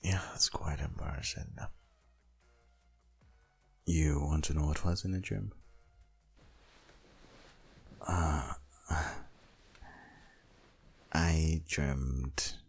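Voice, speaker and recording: A man playing a doting, protective, gently dominant boyfriend in an intimate ASMR roleplay, murmuring softly and coaxingly close to the microphone. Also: extremely low pitch, exaggerated voice. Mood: teasing, sleepy. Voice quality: husky, gravelly, breathy.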